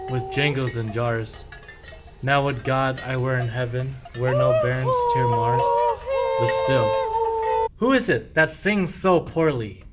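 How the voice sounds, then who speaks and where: A man playing a sly, boastful, self-pitying sheep thief in a medieval verse radio play, with declamatory rhyming speech. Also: medium pitch. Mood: lamenting.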